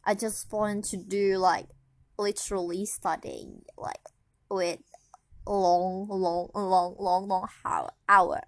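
A woman's voice: medium pitch, steady tone, slow pace, no room sound. A young woman from Indonesia speaking English with an Indonesian accent, rambling sleepily through a personal podcast with many fillers. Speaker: podcast host.

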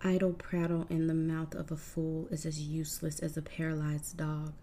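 A woman voicing a proud, defiant cursed gorgon woman in a hushed, breathy recitation. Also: deep voice, completely flat delivery, moderate pace, a little hiss or hum, close to an everyday voice.

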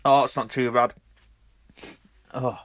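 A man hosting his own audio blog, his voice husky from a cold, chatting and rambling with lots of ums. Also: mid-range voice, level tone, slow pace, a bit bright. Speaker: podcast host. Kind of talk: casual solo audio blog.

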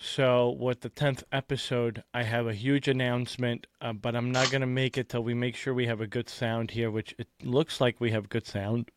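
A man's voice: medium pitch, level tone, normal speed, hardly any pauses. A man hosting a casual podcast, talking loosely with lots of "uh" and "um" as he reads out sponsors and giveaways. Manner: loose, rambling, lots of filler words. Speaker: podcast host.

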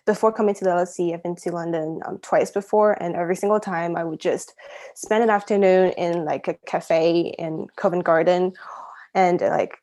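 A woman's voice: on the low side, a little mellow. A young woman from Shanghai chatting casually as an interview guest, giggly and scattering "like" through her talk. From Shanghai, China. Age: young adult. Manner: chatty, giggly, frequent use of "like". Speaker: interview guest, university student. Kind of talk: casual chat, personal anecdotes.

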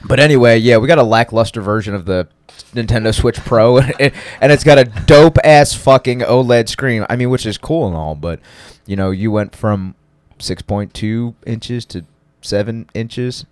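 A man with a deep, smooth voice, chatting casually as a podcast co-host, teasing and swearing freely. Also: normal speed, hardly any pauses, no room sound, clean studio sound. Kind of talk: casual chat.

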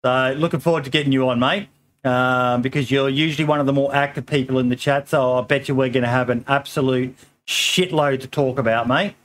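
A middle-aged man hosting a casual podcast, his voice a little hoarse, easygoing and full of ums and "mate". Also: mid-range voice, fairly even intonation, brisk. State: slightly hoarse. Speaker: podcast host.

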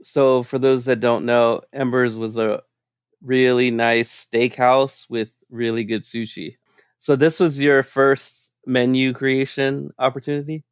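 A man's voice: medium pitch, flat delivery, a little slow, smooth, no room sound, studio quality. A man in his thirties hosting a casual chat, telling stories playfully, laughing and swearing freely. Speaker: host.

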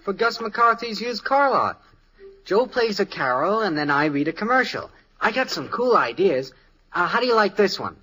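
An American actor playing an eager, brash teenage son in a 1950s radio sitcom, enthusiastic and slangy. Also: male voice, high voice, very animated, normal speed, pushed and bright, breathy edge, full character voice, poor audio. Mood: proud salesmanship.

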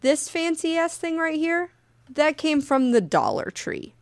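A woman co-hosting a casual podcast, talking very loudly and telling stories with lively, profane energy. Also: sits high, measured pace, dry recording. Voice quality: very loud voice. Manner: animated, profane storytelling. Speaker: podcast co-host.

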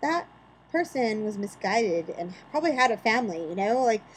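A woman's voice: fairly high pitch, expressive, moderate pace, a little hiss or hum, rough recording. A woman co-hosting a podcast in casual chat, arguing her points with rhetorical questions. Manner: opinionated, builds arguments with rhetorical questions. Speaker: podcast co-host.

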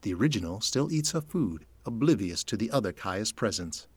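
A man's voice: fairly low pitch, expressive, moderate pace, slightly rough, dry recording. A man narrating an audio drama from a script, in present-tense storytelling. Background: music.

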